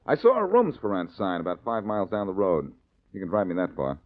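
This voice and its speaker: A dull, lo-fi old radio recording of a low-pitched, lively detective. He is glib and sardonic, tossing out wisecracks with an unflappable 1940s radio drama swagger. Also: brisk. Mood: cool, probing.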